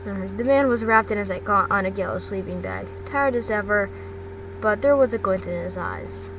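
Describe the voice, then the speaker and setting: A woman's voice: mid-range voice, sing-song, normal speed. A woman reading fan fiction aloud in an amateur storybook style, often stumbling and repeating words. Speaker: fan fiction podcast reader. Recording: noisy home recording, distant mic.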